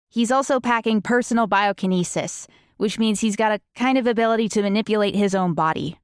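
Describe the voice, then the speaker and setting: Audiobook teen tactician, read by a woman: female, mid-pitched, self-assured, knowing delivery, no room sound. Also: clear, resonant tone, dry recording, clean studio sound, lightly acted. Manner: matter-of-fact, knowing. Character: clever, self-assured teenage girl explaining tactics, unapologetic.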